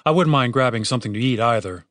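A man's voice: medium pitch, fast, fairly bright tone, dry recording, lightly acted. A man playing a laid-back, wisecracking young lute player in an audiobook story, dry and casual. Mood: casual, joking.

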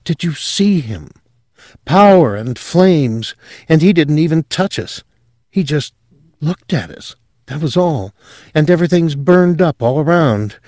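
Brief: a male reader doing a soft, dreamy young woman, voice lifted well above his own, hushed and dry. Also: a little high, lively intonation, a little slow, no echo, voice pushed up. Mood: rapt. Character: young woman, eerie and rapturous.

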